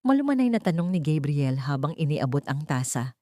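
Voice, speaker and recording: A woman with a Filipino accent narrating a scripted dramatic story in a measured, sentimental, literary way. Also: sits low, big pitch swings, dark tone, dry recording, clean studio sound.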